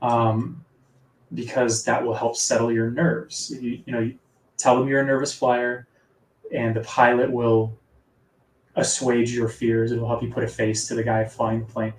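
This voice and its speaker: A man with a soft, sleepy-sounding voice, chatting casually as an author guest in a live interview. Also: mid-range voice, little pitch movement, measured pace, slight background noise. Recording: echoey room, distant from mic.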